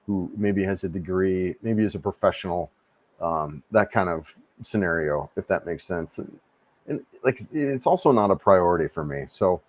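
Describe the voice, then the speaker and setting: Laid-back man in his fifties, low voice. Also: expressive, moderate pace, no echo. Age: 50s. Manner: rambling, restarts often, laid-back and friendly. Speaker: livestream host answering chat. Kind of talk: casual live chat. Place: home room.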